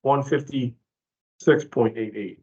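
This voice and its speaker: A man explaining billing rates informally in a work meeting, halting and often correcting himself. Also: medium pitch, dark tone, breathy edge. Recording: dull, lo-fi online meeting audio.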